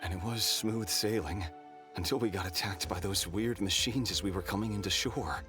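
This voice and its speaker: An actor playing a wry, gossipy travelling merchant in a video game. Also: male voice, lowish voice, normal speed, music in the background, natural voice.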